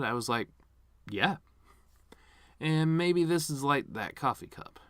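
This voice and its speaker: Male actor as washed-up middle-aged TV star, wounded and sardonic, eulogy monologue, medium pitch, very dry close sound. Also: dry recording, close to an everyday voice. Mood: wry, then reflective. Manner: sardonic, theatrical, swings between outbursts and hushed asides. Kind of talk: dramatic monologue reading, fan performance.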